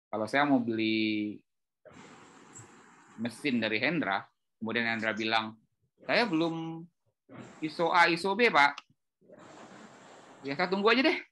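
A middle-aged man giving an informal, animated explanatory talk on a video call, telling stories and acting out dialogue. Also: medium pitch, low-quality recording. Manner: animated storytelling, acts out dialogue. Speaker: speaker at an export training webinar. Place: indoor room on a group video call.

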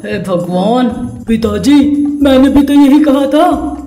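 Cartoon husband, female performer: young, exasperated, soft-toned, music underneath. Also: sits high, expressive, slow pace, heavily acted. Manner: complaining, exasperated. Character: exasperated young village husband, put-upon son. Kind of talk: cartoon story dialogue. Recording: roomy echo, music underneath.

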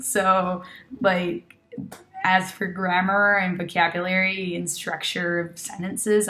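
A woman's voice: medium pitch, a bit bright. Chatty young woman, American-leaning accent. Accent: American-leaning English. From Argentina. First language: Spanish. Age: 20s. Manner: chatty, many fillers and self-corrections, laughs often. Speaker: test-prep student giving a testimonial. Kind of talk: casual testimonial. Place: small indoor room with red wall.

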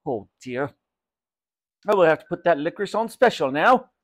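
A man voicing an effusive, excitable male shopkeeper in a children's storybook. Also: on the high side, moderate pace. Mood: excitable, emphatic. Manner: effusive, exclaiming.

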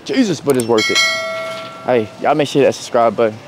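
A young man, chatting casually to viewers in a slangy, relaxed way as he walks through a store and a parking lot. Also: medium pitch, fairly quick, noisy background. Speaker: vlogger. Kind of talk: casual vlog chat. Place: outdoors in parking lots and inside a big store.

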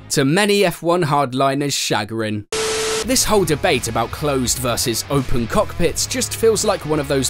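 Sarcastic male explainer narrator, lively pitch. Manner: sarcastic, playful asides. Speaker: narrator of a motorsport explainer channel. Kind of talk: scripted voice-over narration. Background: faint background music.

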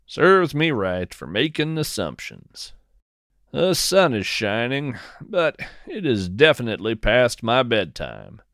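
A man's voice: mid-range voice, creaky, a bit bright, dry recording, studio quality. A man hosting and narrating a scripted audio-fiction podcast in a wry, dryly understated way.

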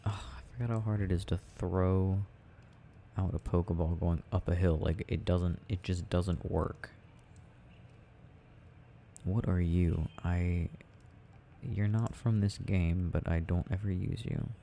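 Deep-voiced man, ASMR gaming ramble, unhurried, halting musing, faint background noise. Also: steady tone, vocal fry, dark tone. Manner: murmured, halting, musing to himself. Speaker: audio performer playing a boyfriend gaming. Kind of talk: ASMR rambling while playing a video game.

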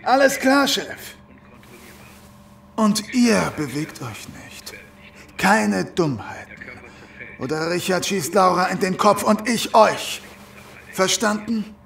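A gruff, creaky-voiced henchman. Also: high voice, animated, slow pace, pauses often, a bit bright, slight background noise, full character voice. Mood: threatening. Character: gruff, threatening henchman with nagging doubts. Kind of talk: German audio drama acting.

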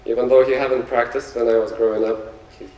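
A man's voice: mid-range voice, fairly quick, distant mic, highs cut off. Lithuanian-accented man, echoey theater. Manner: relaxed, searching for words with many fillers. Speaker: guest artist. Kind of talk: stage talk with slides. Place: theater stage before an audience. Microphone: handheld mic, recorded from afar.

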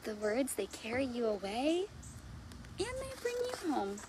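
A woman in her thirties, a children's librarian, reading aloud and chatting to young children in an animated sing-song way, recorded outdoors at arm's length from the device. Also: a little high, a little slow. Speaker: children's librarian hosting storytime. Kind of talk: read-aloud and chat addressed to young children. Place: outdoors in a leafy backyard. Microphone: device mic at arm's length. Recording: outdoor background noise.

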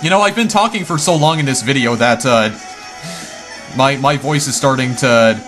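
A man commentating his game run casually, chatty and easily startled, his voice a bit hoarse. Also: mid-range voice, moderate pace, a bit bright, soft background music. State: slightly hoarse, losing voice. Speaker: let's play gamer.

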